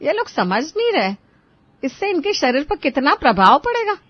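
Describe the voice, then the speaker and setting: A woman voicing a shrewd, determined mother-in-law who speaks firmly in an animated moral story. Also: high voice, moderate pace, no echo, muffled recording, pitched above the performer's own voice. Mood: indignant. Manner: firm, instructive.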